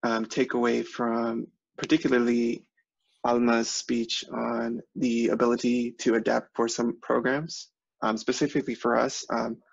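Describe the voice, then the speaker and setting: A man speaking up in a work meeting on a conference call, with halting, formal phrasing. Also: medium pitch, monotone, vocal fry, breathy edge, no room sound. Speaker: human services administrator. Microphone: remote conference call. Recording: dull, slightly noisy conference call.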